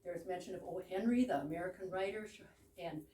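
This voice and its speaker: A woman's voice: on the low side, fairly quick, mellow. Female presenter, informal explainer style, mid-range voice, roomy distant recording with faint noise. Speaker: presenter introducing a play she acts in. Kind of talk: informal explanatory introduction to an audience. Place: room in a private home with a small audience. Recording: echoey room, distant mic, background noise.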